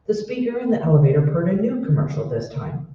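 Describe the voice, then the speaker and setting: A man's voice: high-pitched, big pitch swings, fast. A man giving scripted narration for an old-time radio drama. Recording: roomy, muffled, distant recording with noise.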